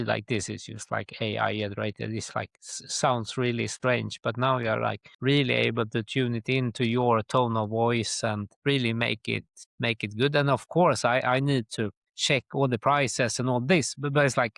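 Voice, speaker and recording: A middle-aged man from Sweden with a Swedish accent, answering interview questions conversationally in a halting way, often dropping in "like". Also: medium pitch, creaky, a bit bright, no echo, studio quality. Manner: halting, with very frequent "like". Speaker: guest, tour company chief executive.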